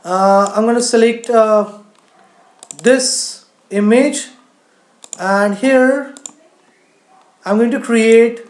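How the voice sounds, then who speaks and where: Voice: mid-range voice, echoey room. A teacher narrating a screen-share tutorial in an explanatory way, often saying "you know". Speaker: coding teacher.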